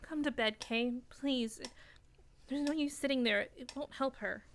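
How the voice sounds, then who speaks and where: A frightened young woman played by an actress in a radio drama, high in pitch over faint background noise. She is protective and pleading, her phrases halting and repeated. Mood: weary dread. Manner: anxious, pleading, halting and repetitive. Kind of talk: radio play acting.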